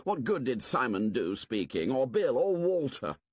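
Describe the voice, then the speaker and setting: A man's voice: mid-range voice, huge pitch swings, normal speed, breathy edge, dry recording, lo-fi sound, voice pushed up. Jack, a man voicing a scornful boy hunter. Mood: contemptuous. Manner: forceful, scornful. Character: fierce domineering boy hunter, contemptuous.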